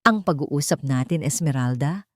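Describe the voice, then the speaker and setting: A woman voicing a vengeful, wealthy father who speaks in a measured, grave, accusing way in a melodrama. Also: sits low, animated, dry recording, studio quality. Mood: cold, menacing. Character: vengeful rich father, coldly menacing, judge-like man. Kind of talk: Tagalog melodrama story dialogue.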